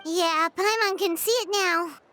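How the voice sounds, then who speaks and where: A woman voicing a tiny, chirpy, cheeky childlike fairy companion in an anime game, with a squeaky voice. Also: a little slow, pushed and bright, slight background noise, heavily acted. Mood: curious, chirpy. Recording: background music under the voice.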